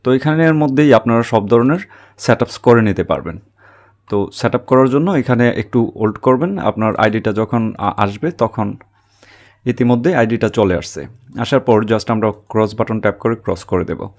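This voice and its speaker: A man's voice: medium pitch, animated, normal speed, darkish tone. A man hosting a tech tutorial, explaining a screen recording step by step and often checking that listeners are following.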